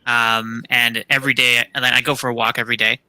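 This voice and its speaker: A man's voice: medium pitch, little pitch movement, fast talker, pushed and bright, dry recording, poor audio. A man talking casually about books as one of a panel. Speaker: book discussion panelist.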